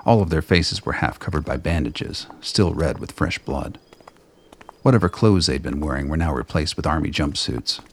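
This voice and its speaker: Extremely deep, gravelly male narrator. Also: a little hiss or hum. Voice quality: gravelly, husky. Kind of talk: scripted narration of a radio play.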